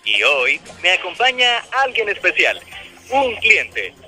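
A man's voice: high voice, huge pitch swings, slow, bright, forceful tone, exaggerated voice. A man voicing a friendly power company mascot in a scripted advert heard over a telephone. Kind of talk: scripted phone recording ad. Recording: hold-line advert with music over phone.

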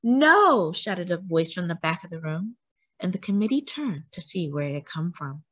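A woman reading aloud to children as a storyteller, with an animated, expressive delivery that acts out the story. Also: on the low side, normal speed, husky. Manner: animated, acting out sighs and cheers.

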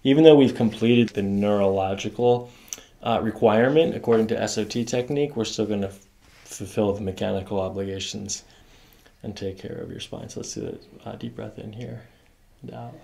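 A man in his thirties working as a chiropractor, calmly talking a patient through treatment with short, soothing, repeated instructions. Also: on the low side, a little fast, creaky. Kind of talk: calm clinical talk with patient during ASMR adjustment. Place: small carpeted office treatment room. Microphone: microphone on boom stand nearby.

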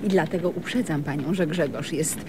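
Actress as politely cutting wife, noisy scene. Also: female voice, deep voice, fairly quick, natural voice. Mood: coolly catty. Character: polite, cutting wife scorning her husband. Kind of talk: comic radio play acting. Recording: radio play with clear background noise.

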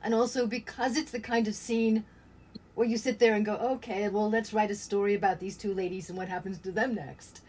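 A woman's voice: medium pitch, fairly even intonation, fairly quick, a little mellow, husky, rough recording. An older woman reflecting casually as an actor in an interview held over a video call. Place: indoor room with cloth backdrop. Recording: faint ambient noise on video call.

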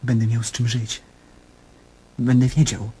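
An actor playing an anguished patient desperately searching for truth, intense and brooding, with a husky voice, in a radio drama. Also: male voice, medium pitch, level tone, a little slow, mellow, rough recording. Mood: brooding, yearning.

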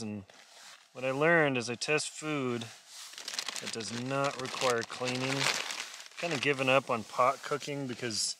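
A man's voice: mid-range voice, background noise. A middle-aged man giving casual, folksy instructions as he handles gear, recorded on a camera inside a small tent. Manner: folksy, talking while busy with gear. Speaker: solo winter camping vlogger. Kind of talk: casual instructional vlog talk.

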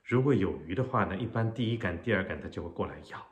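A middle-aged man teaching a fishing technique in an unhurried way, explaining step by step with pauses, filmed at home indoors. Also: low-pitched, expressive. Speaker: fishing channel host. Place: home living room, indoors. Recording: roomy echo.

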